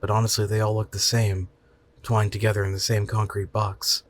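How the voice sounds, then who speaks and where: A man's voice: on the low side, level tone, normal speed, a little hiss or hum. A man narrating a scripted short story in the first person.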